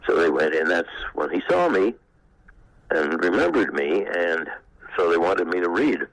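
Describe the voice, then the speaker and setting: An elderly man in his eighties casually reminiscing in an interview, in a halting, anecdotal way. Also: sits low, very animated, vocal fry, fairly bright tone, no echo, poor audio. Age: 80s. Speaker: former actor being interviewed.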